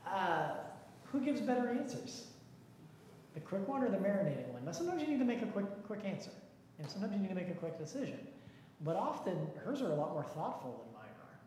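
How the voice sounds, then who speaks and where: A man's voice: sits high, big pitch swings, brisk, a little mellow. Brief: male pastor, casual and self-mocking, very echoey church hall. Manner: folksy, self-deprecating, chatty. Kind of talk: leading a church discussion. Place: church sanctuary. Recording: echoey hall, faint noise.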